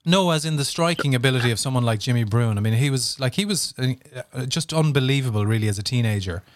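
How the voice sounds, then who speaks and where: A man's voice: medium pitch, expressive, fast talker, no echo, studio quality. A man interviewing a guest, with long, rambling questions full of fillers.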